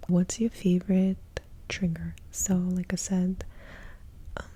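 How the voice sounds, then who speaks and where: Hushed, breathy woman in soft-spoken ASMR. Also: on the low side, flat delivery. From Russia. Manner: hushed, breathy half-whisper, slow and gentle. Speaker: ASMR creator. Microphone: close sensitive ASMR mic.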